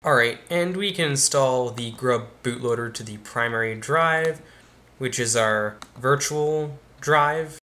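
A young man with a casual, explanatory manner walks viewers step by step through a software install in a tutorial. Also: medium pitch, slow. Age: young adult. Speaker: tech YouTuber. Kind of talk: screen-recorded tutorial walkthrough. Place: small room indoors.

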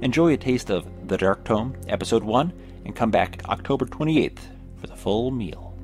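A man giving a measured, wry introduction to an audio drama preview. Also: medium pitch, animated, darkish tone, faint music behind. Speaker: announcer. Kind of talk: promo introduction.

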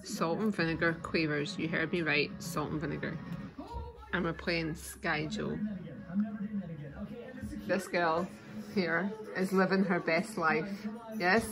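A woman's voice: low-pitched, steady tone, slow, vocal fry, long pauses. A woman in her forties filming her family on a phone, giving a playful running commentary outdoors and in the car. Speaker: vlogger and mother. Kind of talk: casual family vlog narration. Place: outdoors on a beach, then in a car. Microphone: handheld phone camera. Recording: noisy wind and outdoor background.

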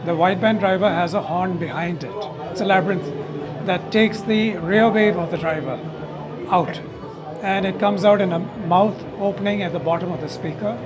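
A man in his sixties explaining technical details in an interview, in a halting way, often checking with "okay". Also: high-pitched. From India. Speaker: loudspeaker company owner and designer, interviewee. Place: hotel corridor at an audio show. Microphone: lapel mic.

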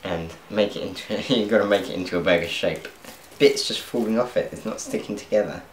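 A man's voice: low voice, a little fast, a little hiss or hum. A young man chatting as he cooks in his home kitchen, laughing at his own mistakes and talking to viewers. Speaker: cooking vlogger. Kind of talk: casual cooking commentary. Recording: echoey kitchen, faint clatter of pans.

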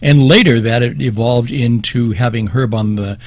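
A man's voice: medium pitch, normal speed, no echo. A man with an American accent, a radio co-host, sharing a conversational tribute. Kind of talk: conversational reminiscence.

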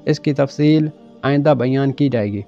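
A man reading a scripted religious narration in a formal, measured way with solemn pauses. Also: mid-range voice, warm tone. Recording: dull lo-fi voice-over with music behind. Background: background music.

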